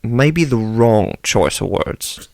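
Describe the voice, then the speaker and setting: A man's voice: lowish voice, animated, takes it slowly, vocal fry, a little mellow, dry recording, studio quality, exaggerated voice. An actor playing a prim, condescending male pastor in a radio drama.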